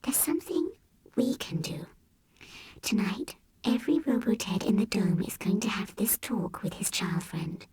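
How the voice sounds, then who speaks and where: A woman voicing a gentle, resolute robot teddy bear in an audiobook story, soft and husky, speaking slowly and earnestly. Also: medium pitch, sing-song, in a whisper, heavily acted. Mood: hushed, earnest. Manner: slow, earnest, deliberate.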